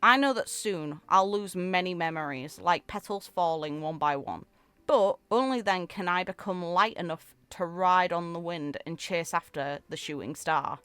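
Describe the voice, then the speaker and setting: A woman's voice: lowish voice, normal speed, a bit bright, clear, resonant tone, no room sound, faint music behind, lightly acted. A woman voicing a sweet, wistful young heroine from a game story, in a tender storybook manner.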